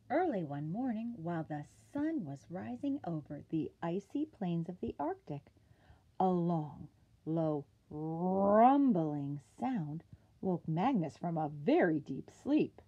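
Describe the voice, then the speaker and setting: A woman's voice: lowish voice, warm tone, slightly rough, dull audio. A woman reading a children's picture book aloud expressively as a library storytime narrator.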